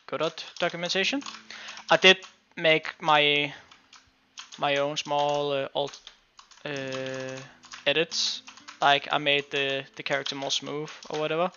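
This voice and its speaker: A Danish man who is not a native English speaker, streaming casual game-dev commentary in a halting way full of restarts. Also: a little high, fairly even intonation, pushed and bright, slight background noise. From Denmark. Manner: halting, many restarts and fillers. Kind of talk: casual live-stream commentary while coding. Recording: muffled lo-fi stream audio.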